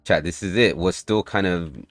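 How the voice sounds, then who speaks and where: A man livestreaming while he builds and tests his game, chatting loosely with viewers, swearing and bursting into excited outbursts. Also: sits low, normal speed, no room sound. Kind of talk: casual livestream chat while coding and playtesting.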